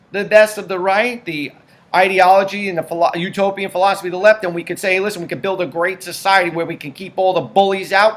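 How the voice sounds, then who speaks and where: A man's voice: high-pitched, a bit bright. A man hosting a political commentary show, talking emphatically and stacking up points in lists.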